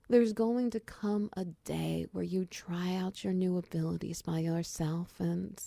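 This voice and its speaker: A woman acts out a friendly female minotaur wizard in an ASMR roleplay. Her voice is mellow and slightly low, with a heavy creak, and she talks in an unhurried, chatty, encouraging way like a patient mentor. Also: dry recording, studio quality, heavily acted. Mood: earnest, instructive. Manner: chatty, encouraging, gently instructive.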